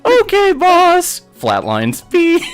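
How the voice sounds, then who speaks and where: A man hosting a casual livestream commentary, jokey and excitable. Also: very high voice. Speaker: host and project director.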